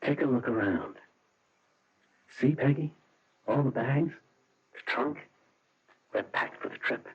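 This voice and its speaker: A man's voice: medium pitch, huge pitch swings, slow, vocal fry, long pauses, slightly rough, exaggerated voice. A middle-aged man playing an obsessive, bitter husband in a radio mystery drama, insistent and repeating himself for emphasis. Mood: urgent, pressing. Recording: muffled, dull old radio recording.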